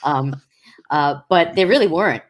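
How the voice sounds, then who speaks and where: A deep-voiced woman in her sixties, speaking as a stand-up comedian in a relaxed interview. She is low-pitched for a woman and hesitates with frequent ums. She teases and reminisces unhurriedly. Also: normal speed, slight background noise. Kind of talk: casual interview chat.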